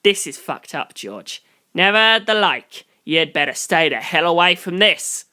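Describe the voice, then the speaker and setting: A reader voicing an excitable, blunt male investigator who warns a friend in emphatic, profane slang. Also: medium pitch, measured pace, clean tone. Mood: alarmed, insistent.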